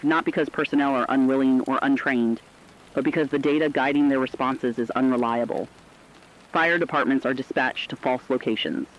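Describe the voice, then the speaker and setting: A man playing a sober, urgent radio correspondent, delivering a formal, deliberate scripted report on societal collapse. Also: mid-range voice, lightly acted. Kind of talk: scripted dramatic news report. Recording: dull lo-fi radio sound.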